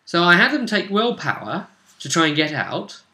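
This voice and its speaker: Male game master, higher-pitched and lively, casual storytelling, roomy echo. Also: moderate pace. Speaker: game master recapping a role-play session. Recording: roomy, echoing home recording.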